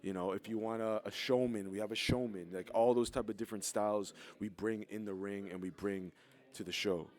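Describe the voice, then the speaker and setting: Male wrestler, sincere interviewee, lower register, easygoing. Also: steady tone, brisk, darkish tone. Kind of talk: casual interview.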